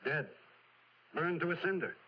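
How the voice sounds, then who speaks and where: Shrewd investigator, muffled old radio. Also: male voice, on the high side, lively intonation, moderate pace. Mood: dry, probing. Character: shrewd, dry, probing male investigator. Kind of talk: old-time radio mystery acting. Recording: dull old radio drama recording.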